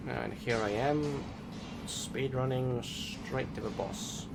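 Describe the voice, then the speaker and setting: A man's voice: mid-range voice, takes it slowly, vocal fry, heavy background noise. A man giving casual let's-play commentary on a video game in offhand asides. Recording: loud game music over voice. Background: loud video game music.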